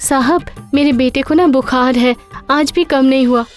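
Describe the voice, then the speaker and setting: A woman's voice: sits high, fairly even intonation, moderate pace, faint music behind, close to an everyday voice. A woman voicing a humble, hard-working mother who works as a maid in a cartoon, earnest and deferential. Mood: worried, deferential. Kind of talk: animated cartoon dialogue.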